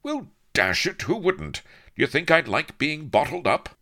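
A man's voice: deep voice, sing-song, measured pace, gravelly, sharp tone, heavily acted. A man playing a naive, gallant young naval officer in an audiobook, speaking with fervent, old-fashioned earnestness. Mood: indignant. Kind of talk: audiobook character voice.

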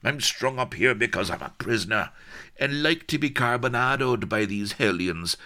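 A man's voice: fairly low pitch, big pitch swings, unhurried, creaky, sharp tone, voice pushed up, heavily acted. A man voicing a sarcastic, anguished rogue of a colonel held captive, groaning out archaic oaths and insults in an audiobook story. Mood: sarcastic, furious. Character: hanging roguish Colonel, sarcastic, anguished, bombastic pirate captive.

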